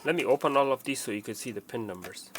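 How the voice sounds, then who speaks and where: A man's voice: mid-range voice, lively intonation, fast, fairly bright tone, a little hiss or hum. A man giving a casual, step-by-step installation walkthrough, explaining plainly and often repeating his instructions. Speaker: technician presenting a how-to tutorial.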